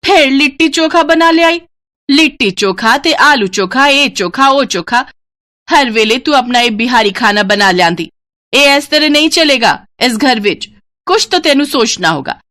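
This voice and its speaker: An older Punjabi mother-in-law from a moral tale, played by a woman. She is fussy and demanding, pitched high, and nags emphatically in a Punjabi accent. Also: lively intonation, a little slow, pushed and bright. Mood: annoyed, scolding. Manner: nagging, emphatic.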